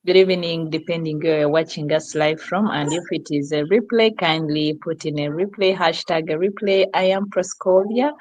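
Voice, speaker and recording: A woman's voice: sits low, normal speed, hardly any pauses, darkish tone, poor audio. A woman from Uganda introducing herself cheerfully on a live stream over a video call. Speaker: guest, digital business owner.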